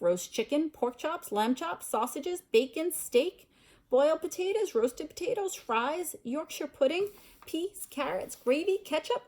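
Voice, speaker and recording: Animated woman reading a story aloud. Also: high-pitched. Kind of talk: expressive storybook read-aloud with casual asides.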